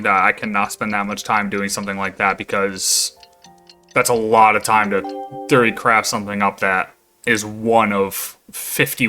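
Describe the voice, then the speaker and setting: A man's voice: on the low side, bright, forceful tone, clean tone. A man giving casual, blunt, informal commentary as he plays through a game. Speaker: game streamer.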